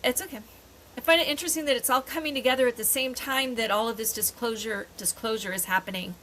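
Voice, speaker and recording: Older woman, very bright voice, casual podcast chat, rambling, faint background noise. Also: on the high side, fairly even intonation, fast. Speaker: podcast co-host.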